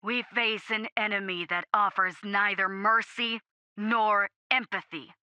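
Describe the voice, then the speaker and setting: A woman voicing a gruff, fierce male first sergeant rallying troops in an audiobook, with a booming, growling delivery. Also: steady tone, measured pace, sharp tone, dry recording, highs cut off. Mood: grim, disgusted.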